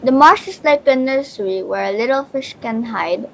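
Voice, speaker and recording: A woman's voice: on the high side, moderate pace, creaky, fairly bright tone, low-quality recording. A female reader reading a rhyming children's picture book aloud.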